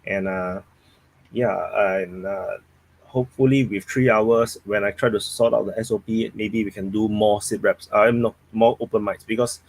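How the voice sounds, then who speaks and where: Singaporean guy hosting a live stream, kinda low voice, rambly casual chat with the viewers. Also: fairly even intonation, moderate pace, creaky, low-quality recording. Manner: rambling, thinking aloud while reading the chat.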